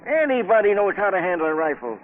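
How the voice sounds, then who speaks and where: An actor playing a scrappy, folksy older boat-hand with ungrammatical, excitable talk in a 1940s radio drama. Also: male voice, high voice, fast talker. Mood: nosy, excitable. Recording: noisy, tinny 1940s radio recording.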